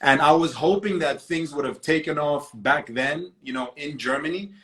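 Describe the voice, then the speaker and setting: A man from Zambia answering interview questions over a video call, relaxed and conversational. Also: on the high side, moderate pace. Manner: relaxed, conversational, fillers like you know. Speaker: guest artist.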